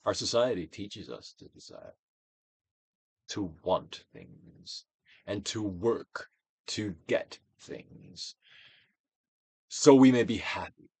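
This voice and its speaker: A man with a low voice reads aloud like a stage actor giving a dramatic recital. His delivery is solemn and declamatory, with wide swings of intonation. The sound is close and dry, with very little room around it. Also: lively intonation, slow, creaky, long pauses, a little mellow, husky, dry recording. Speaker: actor reading a book aloud. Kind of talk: dramatic audiobook reading, theatrical Shakespearean delivery.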